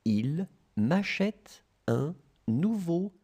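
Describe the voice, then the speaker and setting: A language tutor voice reading short phrases in slow dictation, repeating each one and splitting it into chunks. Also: mid-range voice, big pitch swings, warm tone, slightly rough.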